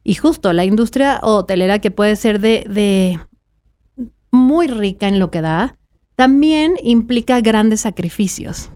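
A woman's voice: medium pitch, lively intonation, unhurried, darkish tone. A woman hosting a podcast interview, speaking in a supportive, affirming way. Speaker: podcast host.

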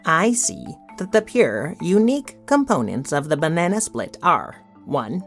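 A woman's voice: sits low, sing-song, unhurried, faint music behind, exaggerated voice. A woman playing a curious, slightly bossy girl who narrates a children's audio drama with an eager storytelling manner. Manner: clear storytelling, eager questioning. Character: bright, curious, bossy little sister who narrates the adventure.

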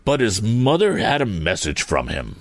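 A man's voice: lowish voice, wildly swooping pitch, moderate pace, dry recording, voice pushed up, full character voice. A man voicing a folksy gardener in a storybook, respectful but slyly knowing. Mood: sly, helpful. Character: deferential male gardener, sly and folksy.

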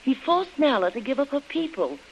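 An actress playing a composed, earnest plantation wife in 1930s radio style, speaking in measured phrases. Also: female voice, high voice, sing-song. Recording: dull, noisy old radio recording.

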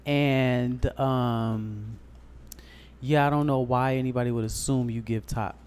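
A man's voice: medium pitch, fairly even intonation, slow, darkish tone, smooth. A man co-hosting a podcast in casual chat, dry and teasing in manner. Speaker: podcast co-host.

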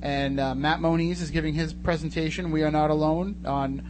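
A man's voice: on the high side, steady tone, moderate pace. A man hosting a radio show in a casual, joking, chatty style. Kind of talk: casual chat. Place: radio studio. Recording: noisy studio audio with hum.

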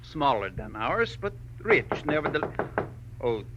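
An actor playing an exacting middle-aged millionaire mine owner in a 1940s radio drama, emphatic and deliberate in his phrasing. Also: on the high side, very animated. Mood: businesslike. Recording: dull, hissy old radio recording.

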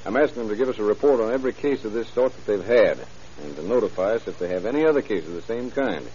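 An actor playing a dogged, earnest police lieutenant with clipped cop slang in a vintage radio crime drama. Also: male voice, on the low side, lively intonation, quick pace, dark tone, close to an everyday voice. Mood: earnest, determined. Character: dogged, hunch-driven male police lieutenant. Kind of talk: 1930s-40s crime radio drama acting. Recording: muffled old radio recording, faint hiss.

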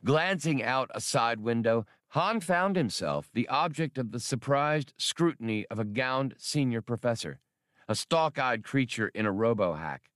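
A man's voice: medium pitch, expressive, measured pace, a bit bright, dry recording, studio quality. A man reading an adventure novel as scripted, dramatic audiobook narration.

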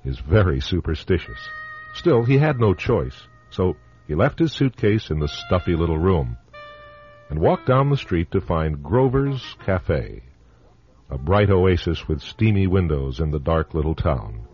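A man hosting and narrating an old radio drama in a theatrical, knowing manner with dramatic pauses. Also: low voice, sing-song. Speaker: host narrator. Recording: dull old radio recording with music.

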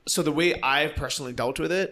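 Fast, bright-voiced blunt American man. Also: on the high side, slight background noise. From United States. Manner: blunt, energetic storytelling with acted-out quotes. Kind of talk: casual conversation.

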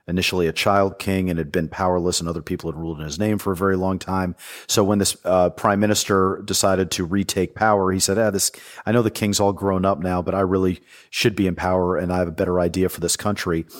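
A man, a former Army intelligence soldier, explaining history as a guest on a podcast interview, thoughtful and full of fillers and restarts. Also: deep voice.

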